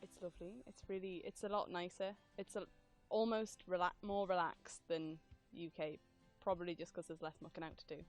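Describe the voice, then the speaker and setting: A woman's voice: on the low side, steady tone, fairly quick. A young woman, a trainee at a horse stud, giving short upbeat answers. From England. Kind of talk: casual interview answers. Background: background music.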